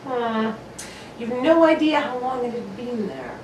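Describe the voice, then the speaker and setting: A probing, excitable gentleman detective acted by a woman in an old-style radio mystery. The voice is high and very animated, with brisk, courteous, theatrical questioning, heard at a distance in an echoing room. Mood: probing, measured. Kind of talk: 1940s radio detective drama acting. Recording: roomy, distant mic with faint noise.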